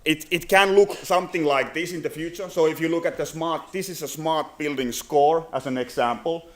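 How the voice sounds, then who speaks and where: A man from Finland in his thirties with a Finnish accent, giving a business presentation at a conference, halting with many fillers and restarts. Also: fairly high pitch. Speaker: company presenter. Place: conference venue.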